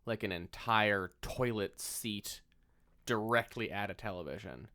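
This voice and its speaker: A man's voice: lowish voice, measured pace, pushed and bright. A man co-hosting a podcast, chatting casually about films and chiming in with nitpicks and corrections. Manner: interjecting, nitpicking. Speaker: podcast co-host. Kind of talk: casual film discussion.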